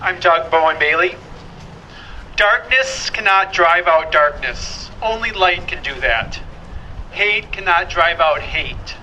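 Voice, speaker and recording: A man's voice: fairly high pitch, animated, takes it slowly, bright, forceful tone, poor audio. A man reading a quotation aloud at a public event. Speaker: quote reader at event. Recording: faint outdoor traffic noise.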